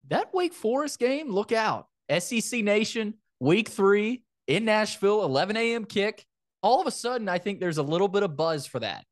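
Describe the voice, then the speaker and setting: A man's voice: sits high, no echo, studio quality. A man hosting a sports podcast in casual talk, often repeating phrases for emphasis.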